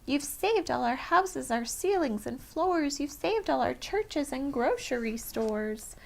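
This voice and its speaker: A woman voicing a tiny, cheerful and grateful male town mayor with a high, piping, eager manner. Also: expressive, slow pace, vocal fry, a little mellow, heavily acted. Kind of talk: storybook read-aloud.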